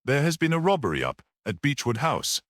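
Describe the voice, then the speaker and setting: A small priest voiced by a male narrator from an audiobook mystery, grave and courteous. He speaks in a measured, reassuring way with lively rises and falls, recorded very dry. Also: medium pitch, no echo, pitched above the performer's own voice.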